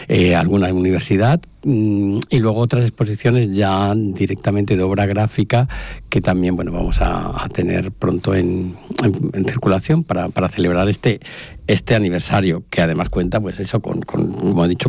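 Radio guest, male, low gravelly husky voice, dry studio sound, halting delivery. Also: a little mellow, no room sound. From Spain. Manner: hesitant, many fillers and restarts. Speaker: guest, foundation director. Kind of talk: radio interview.

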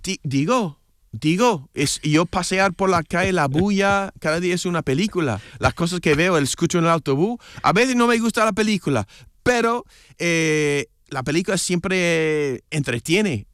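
A man's voice: high-pitched, animated, normal speed, fairly bright tone, no echo, studio quality. A man whose first language is English, a guest on a radio show, chatting casually in Spanish and often stopping to search for words. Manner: hesitant, searching for words, many restarts.

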